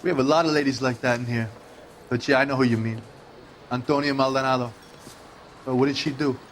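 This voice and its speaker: A man's voice: medium pitch, fairly even intonation, fairly quick, a little hiss or hum, close to an everyday voice. An actor playing a world-weary male bartender in a 1940s detective video game, talking in a casual, slangy way. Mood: obliging, casual. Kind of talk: scripted dramatic game acting.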